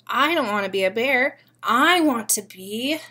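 A young woman voicing a discontented little boy bunny from a picture book, in emphatic, drawn-out reading. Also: a little high, lively intonation, bright, forceful tone, heavily acted. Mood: discontented, wishful.

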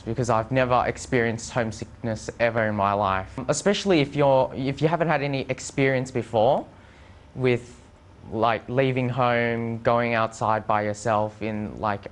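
A young adult man giving an unscripted testimonial as a former exchange student. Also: medium pitch. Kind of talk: unscripted interview testimonial to camera. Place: indoor studio with plain backdrop.

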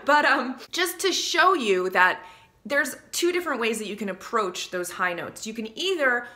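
A woman in her thirties speaks straight to the camera from a small room indoors, chatty and animated as she teaches singing. Her voice is bright and forward, and she drops readily into short sung phrases to illustrate. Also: a little high, moderate pace. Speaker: vocal coach.

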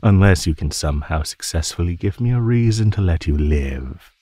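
A man voicing a teasing, menacing male naga in an ASMR roleplay, speaking in a mocking, condescending drawl with pauses. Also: low voice, lively intonation, warm tone, no echo, clean studio sound. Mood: smug, threatening. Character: teasing, menacing male naga predator toying with captive prey.

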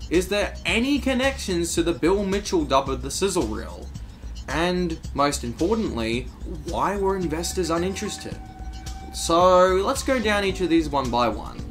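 A man's voice: high voice, big pitch swings, measured pace, faint music behind. A man hosting a video essay, narrating from a script.

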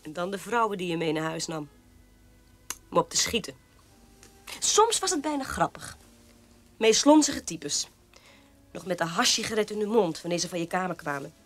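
An actress playing a mocking, sharp-tongued sister in a radio play, needling and scornful. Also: female voice, fairly low pitch, sing-song, moderate pace, pauses often, faint music behind. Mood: amused scorn.

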